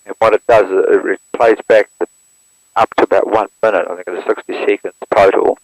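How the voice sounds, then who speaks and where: A man, walking through how to operate an aircraft radio step by step in a plain, steady manner, recorded through an intercom headset. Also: on the low side, brisk, no room sound, rough recording. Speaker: instructor demonstrating an aircraft radio. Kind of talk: hands-on equipment walkthrough.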